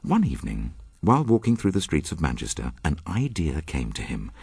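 A man's voice: extremely low pitch, wildly swooping pitch, fairly quick, gravelly, a little mellow, husky, dry recording. A man introducing a radio drama with scripted narration in a solemn, dramatic storytelling manner.

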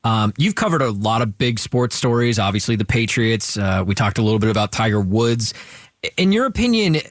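A male radio host keeps the interview moving with upbeat introductions and questions. His pitch is average, and the studio sound is dry and close with no room echo.

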